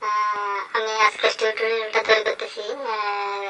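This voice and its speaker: A man's voice: high voice, completely flat delivery, moderate pace, recorded from a distance. A man introducing a tutorial, his voice altered by a voice-changer filter. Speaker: tutorial host. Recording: noisy played-back phone recording.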